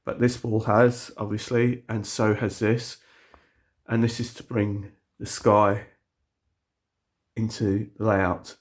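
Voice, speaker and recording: A man's voice: fairly low pitch, flat delivery, slow pace, long pauses. Sniffly man rambling through his model layout. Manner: rambling, many fillers and restarts. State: sniffly with a cold. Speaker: model railway hobbyist showing his layout. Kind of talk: casual walkthrough video. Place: indoor hobby room with the layout.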